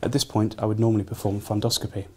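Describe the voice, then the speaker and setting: Mellow young British male doctor. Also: fairly low pitch, flat delivery, fast talker, slightly rough. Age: young adult. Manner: courteous, frequently thanking. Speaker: doctor, neurology registrar. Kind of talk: polite clinical exam instructions. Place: small hospital consulting room. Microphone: camera across the room.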